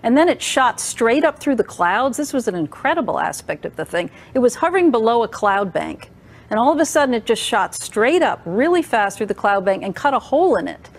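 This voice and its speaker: A woman's voice: fairly high pitch, sing-song, a little fast. An American woman explaining an account in a conversational interview. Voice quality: creaky.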